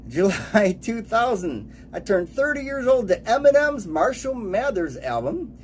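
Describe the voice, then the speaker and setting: A man in his late forties giving an upbeat radio DJ countdown, announcing titles and years in a lively way. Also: high-pitched, unhurried, a little hiss or hum. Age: late 40s.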